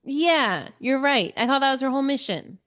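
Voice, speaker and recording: Woman podcast co-host, super lively pitch, casual and expressive, chatting about books. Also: moderate pace. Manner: expressive, giggly, acts out lines in quotes. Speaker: book club podcast co-host. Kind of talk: casual book discussion chat.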